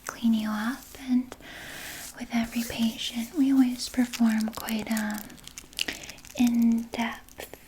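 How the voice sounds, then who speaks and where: A woman plays a doting yet sinister female vampire nurse in a whispered ASMR roleplay. Her breathy whisper is unhurried and stays very even, soothing and coy, with murmured asides. A faint noise sits behind the voice. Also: a little high. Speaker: ASMR performer.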